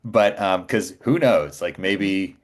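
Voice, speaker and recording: A man speaking as a podcast guest, informal and halting, often correcting himself as he goes. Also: low voice. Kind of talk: casual chat. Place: small home studio by a bedroom.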